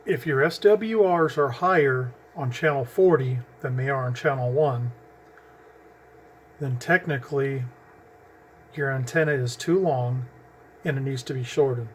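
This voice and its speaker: A man talks through a hands-on demonstration at an ordinary pitch, rambling and restarting as he handles his gear. A faint noise sits under his voice. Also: a little slow, pauses often. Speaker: CB radio hobbyist. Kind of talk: casual how-to demonstration. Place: at home with radio gear. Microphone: camera mic, handling gear while talking.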